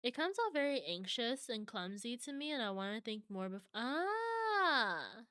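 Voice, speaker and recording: A woman's voice: fairly high pitch, very animated, a little slow, bright, forceful tone, smooth, dry recording, clean studio sound. A young adult woman streaming from home, chatting casually with viewers and answering their comments.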